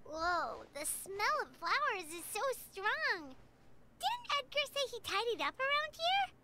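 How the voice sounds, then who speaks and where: A woman voicing a tiny, excitable floating companion sprite in a video game, who talks about herself in the third person. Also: very high voice, measured pace, noisy background, heavily acted. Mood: excited.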